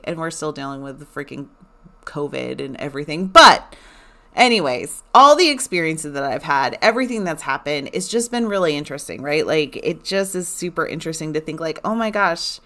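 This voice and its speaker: A woman in her forties chatting casually to her listeners, animated and rambling with lots of fillers. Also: medium pitch. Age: 40s. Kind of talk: casual personal chat.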